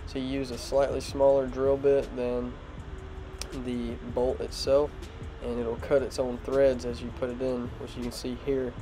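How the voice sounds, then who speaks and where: A young man with a stuffed-up nose, casually explaining a truck project in a home garage vlog with voiceover. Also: medium pitch. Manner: relaxed, plain explanatory talk with fillers. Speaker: automotive vlogger. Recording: music playing behind.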